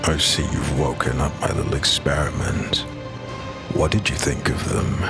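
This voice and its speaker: A man playing a calm, cruel male mad-surgeon villain in an audio drama, speaking in a silken voice. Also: extremely low pitch. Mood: amused. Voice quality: silky, gravelly, slow. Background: music.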